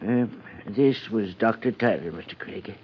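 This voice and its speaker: An actor playing an earnest, elderly small-town supervisor in a 1950s radio drama, polite, hesitant and beseeching. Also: male voice, lowish voice, a little slow, slight background noise. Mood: subdued. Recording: muffled 1950s radio recording.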